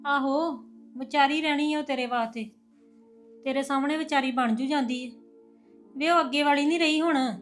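A woman's voice: high-pitched, steady tone, moderate pace, pauses often, faint music behind, natural voice. A woman playing a resentful sister-in-law who complains and speaks sarcastically in a family drama. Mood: sarcastic. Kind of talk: domestic drama acting.

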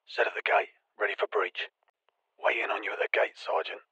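An actor playing a gruff, commanding British special forces soldier in a military game, giving terse, clipped orders. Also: male voice, on the low side, steady tone, brisk, sharp tone, dry recording, highs cut off, heavily acted. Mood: focused, terse. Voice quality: husky, gravelly. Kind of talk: military action game voice lines.

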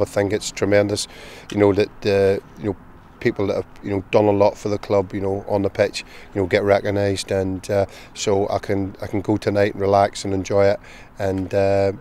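Middle-aged Northern Irish man, football manager interview pitchside, low voice, flat delivery, faint outdoor hum. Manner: measured, many "you know" fillers. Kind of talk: post-match interview. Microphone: handheld interview mic, close camera.

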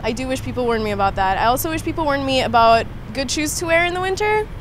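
A young woman, expressive and amused, sharing advice outdoors. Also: sits high, fast, a faint crowd. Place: city street outdoors. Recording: noisy street outdoors.

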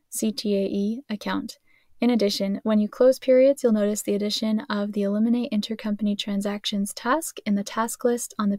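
A woman's voice: mid-range voice, darkish tone, dry recording. A woman reading a scripted explainer as a tutorial narrator, instructional and precisely enunciated, in a close voice-over recording.

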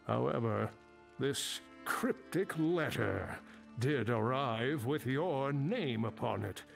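A man playing a kindly, weary old scholar in a fantasy game, gravelly and thoughtful. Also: medium pitch. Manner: measured, thoughtful. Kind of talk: fantasy video game character acting.

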